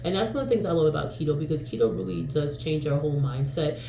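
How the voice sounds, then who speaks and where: A woman in her forties from New York chats to camera in a rambling, candid vlog style, full of ums and the occasional curse. Her voice is mellow and relaxed. The room around her rings with echo, and a faint hum sits underneath. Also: deep voice, fast. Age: 40s. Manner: rambling and frank, with frequent fillers and mild swearing. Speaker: vlogger. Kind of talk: casual talking-to-camera vlog.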